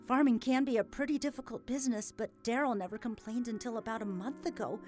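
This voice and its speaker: A woman playing a grieving, hesitant farm widow in an audio drama, speaking in a halting, emotional way. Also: fairly high pitch, a little mellow, faint music behind, close to an everyday voice. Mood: hesitant, troubled. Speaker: voice actor.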